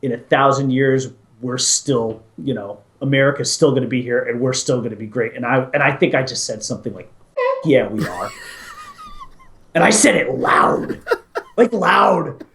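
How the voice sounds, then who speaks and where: A man's voice: mid-range voice, normal speed, creaky, reverberant. Guy reviewing movies on a chat show, gets all hyped telling stories. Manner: enthusiastic, animated storytelling. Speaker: podcast co-host, movie reviewer.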